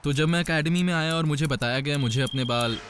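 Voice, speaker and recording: A man's voice: fairly high pitch, brisk, noisy background. A man speaking as a cadet in an interview, in a plain conversational way. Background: music.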